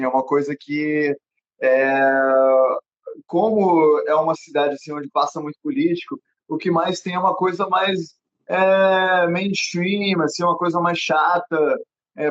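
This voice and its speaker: A young man from Brasília hosting a casual interview, rambling with many fillers and restarts and laughing often. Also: on the high side. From Brazil, Brasília. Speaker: podcast host. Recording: dull lo-fi audio.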